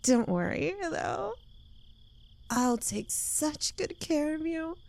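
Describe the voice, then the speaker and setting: A smug, clingy young witch played by a woman in an intimate ASMR roleplay. She drawls slowly in a soft, mellow voice with a creaky edge, teasing and possessive, slightly unhinged. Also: high-pitched, animated, full character voice. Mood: possessive, gloating.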